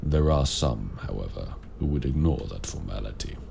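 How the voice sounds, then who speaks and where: A man playing a courteous, grave warlock mentor in raven form, speaking formally and deliberately in an audio roleplay. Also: very deep voice, lively intonation, vocal fry, mellow, a little hiss or hum, heavily acted. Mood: grave, measured. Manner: formal, measured, deliberate phrasing. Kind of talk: audio roleplay addressed to the listener.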